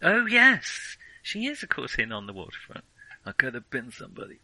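A man hosting a podcast and casually discussing films, in a halting, hesitant way that often doubles back on itself. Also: medium pitch, wildly swooping pitch, sharp tone, no echo, low-quality recording. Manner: halting, hesitant, frequent self-interruptions. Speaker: podcast host.

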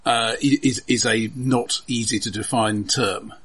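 Guy on a film podcast, raspy but bright voice, explains stuff analytically, doubles up words as he goes. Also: medium pitch, fairly even intonation, unhurried. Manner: analytical, explanatory, repeats words while thinking. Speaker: podcast host. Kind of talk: conversational film discussion.

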